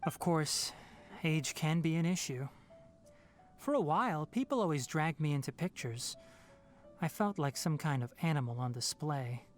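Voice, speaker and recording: A man playing an earnest young male scholar in a video game, measured, thoughtful and articulate. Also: a little high, expressive, faint music behind, close to an everyday voice. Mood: slightly embarrassed. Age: young adult. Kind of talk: video game character acting.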